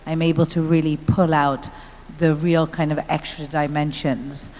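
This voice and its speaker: A woman's voice: sits low, flat delivery, a little slow, crowd noise. A woman artist introducing herself conversationally as a panelist at a conference. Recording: echoey hall, faint ambient noise.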